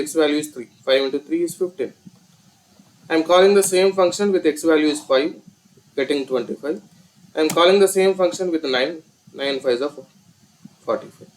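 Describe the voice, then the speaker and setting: Indian guy doing a coding tutorial, voice a bit high, explains step by step and repeats stuff. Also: poor audio. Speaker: programming instructor. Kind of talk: screen-recorded tutorial explanation.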